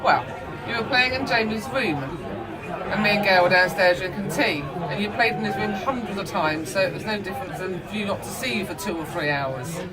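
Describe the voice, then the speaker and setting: A woman's voice: mid-range voice, lively intonation, a little fast. A middle-aged woman telling family stories casually, acting out bits of dialogue and swearing freely. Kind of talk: casual animated storytelling. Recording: busy public room chatter.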